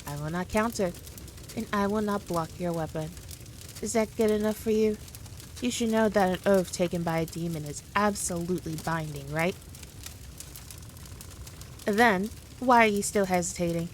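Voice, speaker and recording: A woman playing a powerful demon queen in an ASMR roleplay, condescending, teasing and theatrical, with deliberate, drawn-out phrasing. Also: mid-range voice, a little hiss or hum, lightly acted. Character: powerful demon queen, haughty, teasing, dominant, toying with her foe. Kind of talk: scripted ASMR roleplay addressed to the listener.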